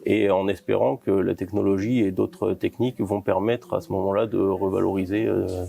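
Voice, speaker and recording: Male company founder interviewed, mellow and fairly monotone, explanatory and halting. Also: sits low, gravelly.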